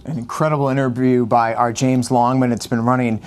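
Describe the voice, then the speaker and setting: A male news anchor talks through the day's stories at a mid-range pitch. He keeps it conversational and unscripted, dropping frequent "uh" fillers as he hands off to his colleague. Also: flat delivery, fairly quick, darkish tone. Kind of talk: live news desk conversation.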